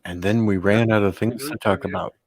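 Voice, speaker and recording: A man's voice: fairly low pitch, steady tone, a little fast, slightly rough, dry recording. A man chatting casually, dropping dry wisecracks. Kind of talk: casual chat.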